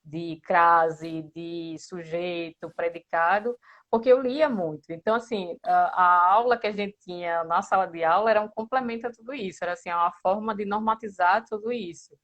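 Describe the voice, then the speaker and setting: A woman's voice: fairly low pitch, moderate pace, fairly bright tone, lo-fi sound. A Brazilian Portuguese-speaking woman giving casual, explanatory interview answers, often checking in with small tag questions. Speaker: interviewed member of a writing group.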